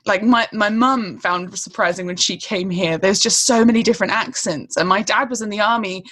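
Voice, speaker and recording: This is a woman in an easygoing conversation, her voice sitting at an ordinary middle pitch. she rambles a little through fillers and breaks into laughter often, clearly at ease as the one asking the questions. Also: moderate pace, a bit bright. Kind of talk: casual chat.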